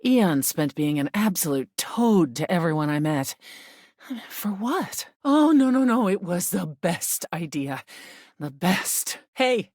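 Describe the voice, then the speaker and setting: A woman's voice: fairly low pitch, lively intonation, takes it slowly, darkish tone, dry recording, studio quality. A woman voicing a video game personification of air, an ancient spirit who dwells on her words and repeats them. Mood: excited.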